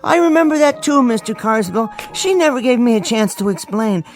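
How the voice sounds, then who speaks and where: An actor playing a meek, bookish middle-aged husband in a radio drama, stammering and politely apologetic. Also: male voice, very high-pitched, lively intonation, fairly quick, soft background music. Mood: meek, wistful. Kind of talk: old-time radio drama acting.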